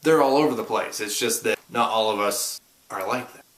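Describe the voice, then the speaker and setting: A man's voice: on the low side, moderate pace, a bit bright. A man from Oklahoma with an Oklahoma accent, rambling and joking in a casual vlog, recorded on a camera mic. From Oklahoma, USA. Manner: joking, rambling, self-deprecating. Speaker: vlogger. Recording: roomy echo.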